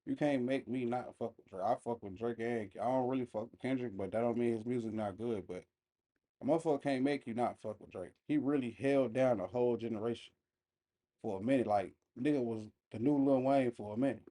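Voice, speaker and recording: A man's voice: medium pitch, fairly quick, mellow. A man giving casual, off-the-cuff reaction commentary, speaking in emphatic slang straight to his viewers. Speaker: reaction video host.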